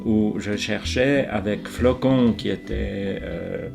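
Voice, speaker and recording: Slow, hesitant old man. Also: fairly low pitch, gravelly, music in the background. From Ticino, Switzerland. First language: Ticinese dialect. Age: 80s. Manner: slow, hesitant, many pauses and repeated words. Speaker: painter interviewed. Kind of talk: reflective interview answers.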